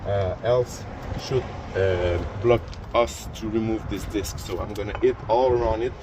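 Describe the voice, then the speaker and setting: A man's voice: fairly low pitch, a little slow, noisy background. Man with a French first language. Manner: plain explanations, frequent fillers and restarts. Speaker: DIY car repair youtuber. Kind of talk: casual how-to narration while working. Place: garage or driveway by a car.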